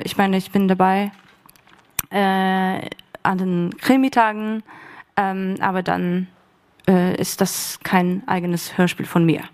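Slow, hesitant woman on a panel. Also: medium pitch. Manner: hesitant, many fillers. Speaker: workshop participant. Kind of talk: panel discussion answer.

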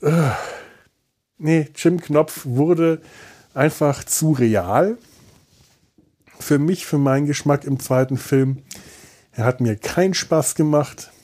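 A man's voice: mid-range voice, dark tone. A man hosting a podcast in a casual, rambling solo monologue, hesitant and thinking aloud, with a wry, self-deprecating manner. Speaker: podcast host. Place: indoors on a sofa.

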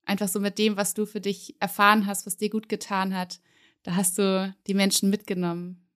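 A woman hosting an interview, asking gently leading questions with encouraging words. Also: mid-range voice, monotone, normal speed. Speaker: podcast host.

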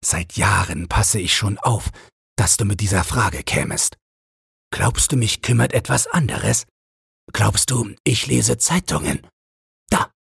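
A man's voice: fairly low pitch, a little slow, gravelly, fairly bright tone, slightly rough, dry recording, clean studio sound, voice pushed up, heavily acted. A man voicing a vengeful, mocking old father in an audiobook, taunting and declamatory as he condemns. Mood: bitter, accusing. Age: older. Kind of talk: dramatic audiobook character reading.

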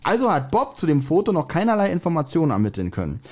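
A man's voice: a little high, wildly swooping pitch, quick pace, a little mellow. A man co-hosting a podcast, casually recapping a story and searching for his words as he goes. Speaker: podcast co-host. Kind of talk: casual chat, recapping an audio drama plot.

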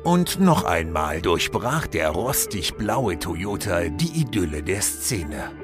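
A man narrating a scripted fairy-tale audio play as a solemn, expressive storyteller. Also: sits low, slow pace, music in the background, full character voice. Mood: solemn, lyrical.

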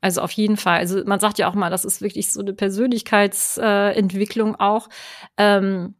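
A woman's voice: mid-range voice, flat delivery, normal speed, no echo, clean studio sound. A middle-aged woman talking in an interview as a guest, chatty, with many fillers and tag questions. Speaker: guest, online course creator. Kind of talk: conversational interview.

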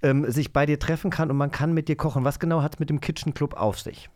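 A man's voice: on the high side, steady tone, fast talker, a little mellow, a little hiss or hum. A man talking casually as a podcast host in a conversational interview.

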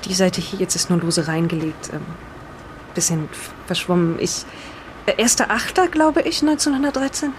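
A woman's voice: lowish voice, noisy background, close to an everyday voice. A woman playing a hesitant, bewildered reader of an old diary, reading aloud and commenting in an audio drama. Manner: halting, breaks off to comment on the reading.

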